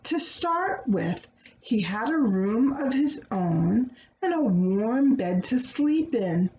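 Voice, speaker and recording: A woman reading a children's story aloud in a teacherly way, pausing to check in with her young listeners. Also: mid-range voice, darkish tone, dull audio. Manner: addresses young listeners with questions and pauses. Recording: roomy echo, faint background noise.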